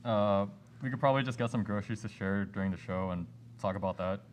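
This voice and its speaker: A young man plays an easygoing, candid student in an amateur radio play. His voice is on the low side, with faint noise behind it. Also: fast, close to an everyday voice. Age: young adult. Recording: slight background noise.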